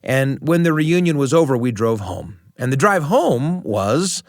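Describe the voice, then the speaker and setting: This is a middle-aged American man telling a personal story as a radio host. His intonation is lively and swings widely, with deliberate pauses and repeated phrases. The sound is dry and close. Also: mid-range voice, dry recording. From United States.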